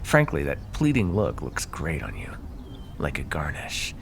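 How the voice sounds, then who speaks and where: A man's voice: rumbling low, wildly swooping pitch, unhurried, creaky, a little hiss or hum, exaggerated voice. A man voicing a smug, predatory naga who teases and threatens with mocking condescension in an ASMR fantasy roleplay. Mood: smug, threatening. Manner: mocking, condescending, drawling pet names. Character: smug predatory male naga, teasing and menacing.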